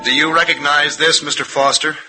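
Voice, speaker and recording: An actor playing a callow, sly young accountant turned thief in an old-time radio drama. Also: male voice, fairly high pitch, steady tone, brisk, music in the background, lightly acted, poor audio. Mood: smug, insinuating.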